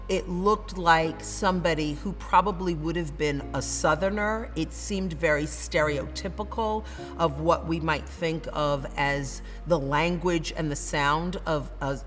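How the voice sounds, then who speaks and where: A woman with a Northern US accent and a low, grounded voice talks earnestly about literature as a professor. She stacks phrase on phrase as she builds a point. Her voice rings with a long reverb, and faint music plays beneath it. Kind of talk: dramatic reading then reflective commentary.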